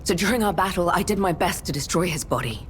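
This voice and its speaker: An actress playing a grave, guilt-ridden veteran warrior and mentor in a video game, speaking in a measured, formal way. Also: female voice, fairly low pitch, expressive, slight background noise, natural voice. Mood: bitter resolve. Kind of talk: dramatic video game cutscene acting.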